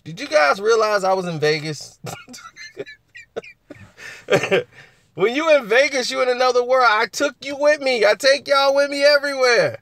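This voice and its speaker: A man with a husky voice hosting a casual live chat, laughing often and answering viewers. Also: very high-pitched, lively intonation, moderate pace, long pauses, fairly bright tone.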